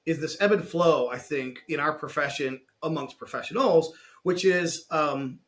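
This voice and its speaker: A man hosting a podcast interview in a measured, articulate, conversational way, building points in lists. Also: a little high, a bit bright.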